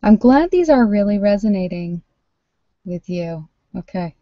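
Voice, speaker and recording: A woman chatting casually as a livestream host reading cards, thinking aloud in an informal, halting way, on dull lo-fi audio. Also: mid-range voice, animated, dry recording. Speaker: livestream host doing tarot card readings. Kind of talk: casual live chat with viewers, card reading. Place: at home, indoors.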